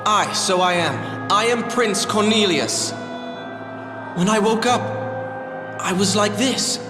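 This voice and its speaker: An actor playing an earnest, noble young prince in a fantasy game, with dramatic, formal, old-fashioned diction. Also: male voice, high-pitched, takes it slowly. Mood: wary. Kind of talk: theatrical fantasy game acting. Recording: roomy reverberant voice over music.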